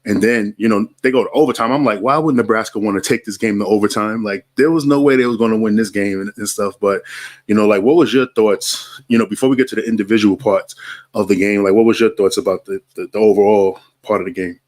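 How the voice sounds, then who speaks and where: A man's voice: on the low side, fairly even intonation, quick pace, a little mellow. A man hosting a basketball podcast in a laid-back, slangy way, with lots of fillers. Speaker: podcast host. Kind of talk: casual sports podcast chat.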